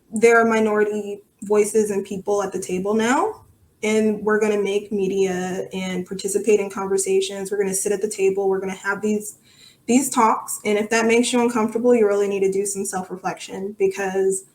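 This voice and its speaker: A woman giving conversational, outspoken and emphatic answers as a podcast guest. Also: mid-range voice. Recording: echoey room sound.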